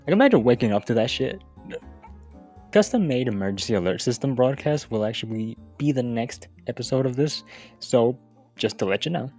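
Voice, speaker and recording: A man's voice: medium pitch, darkish tone. A man narrating a video essay in a casual, informal way, with wry, sweary asides. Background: background music.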